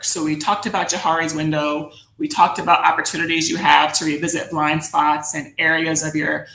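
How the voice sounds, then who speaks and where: An American woman giving an instructional presentation in a deliberate, reflective manner, often posing questions to her listeners. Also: low voice, gravelly, sharp tone. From United States, New Jersey suburbs. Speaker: workshop presenter, educator. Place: indoor room, virtual session. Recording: roomy echo on the voice.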